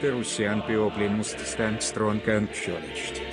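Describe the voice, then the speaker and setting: A man's voice: on the low side, steady tone, unhurried. A man delivering a solemn political address. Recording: speech over clear music.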